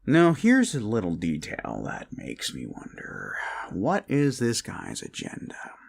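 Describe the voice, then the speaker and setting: Creepy possessive boyfriend, acted by a man for ASMR roleplay: slow, swooping delivery, mock-sincere coaxing that slips into whispers. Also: lowish voice, huge pitch swings, gravelly, breathy edge, heavily acted. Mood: suspicious, sweet. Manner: theatrical, coaxing, deliberate with pauses, drifting into whispers.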